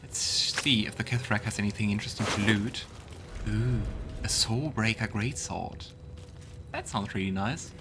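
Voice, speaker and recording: A man's voice: fairly low pitch, normal speed, background noise. A man giving casual let's play commentary, thinking aloud and reading game text out as he goes. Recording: game music behind the voice. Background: video game music.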